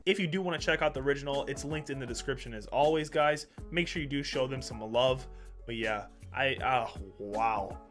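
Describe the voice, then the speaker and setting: A man's voice: medium pitch, normal speed, a bit bright, soft background music. A young man hosting a reaction video, talking in a laid-back, chatty way, nasal and stuffed up from a cold, on a headset mic in a small room at home. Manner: laid-back, chatty, addresses viewers as guys. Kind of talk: casual reaction commentary. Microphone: gaming headset mic.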